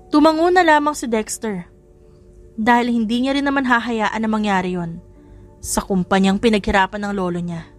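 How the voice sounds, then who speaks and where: A woman's voice: a little high, moderate pace. A woman reading a scripted love story as a dramatic audiobook narrator. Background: music.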